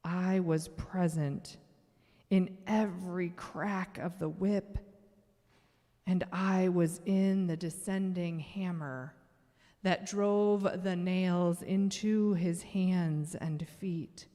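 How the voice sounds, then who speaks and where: A woman performing a measured, solemn church reading as Fear, a menacing and boastful tormentor. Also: lowish voice, fairly even intonation, warm tone. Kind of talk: dramatic church reading, monologue. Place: large church sanctuary. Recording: long reverberant church hall echo.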